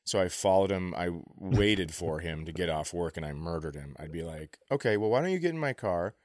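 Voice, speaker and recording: A man with a deep voice banters as a comedy podcast co-host. His delivery is conversational and halting, slipping into imagined bits of dialogue. The recording is dry, with no audible room. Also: lively intonation, no room sound. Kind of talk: casual comic banter.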